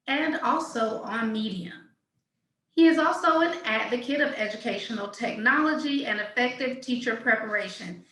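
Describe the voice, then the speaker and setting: A woman's voice: high voice, sharp tone, echoey room, rough recording. A woman hosting an interview podcast, reading a guest introduction and then asking questions with enthusiastic emphasis. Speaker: podcast host.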